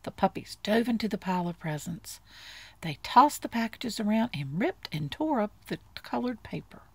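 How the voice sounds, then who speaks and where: Expressive woman storyteller with creaky voice. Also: mid-range voice, normal speed, no room sound. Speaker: storybook reader. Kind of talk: expressive bedtime story reading.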